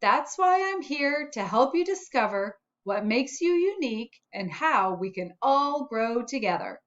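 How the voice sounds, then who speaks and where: A friendly storybook spot, voiced by a woman, speaks slowly and encouragingly to children. The voice is a bit high, with simple, cheerful helper phrasing. The sound is slightly dull and lo-fi. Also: sing-song, fairly bright tone. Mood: upbeat, encouraging.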